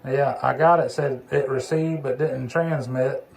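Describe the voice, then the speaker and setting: A man's voice: medium pitch, fairly even intonation, measured pace, a little mellow. A man chatting casually as a CB radio hobbyist while demonstrating a repaired radio. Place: indoor electronics workbench. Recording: clearly noisy workbench recording, background hiss.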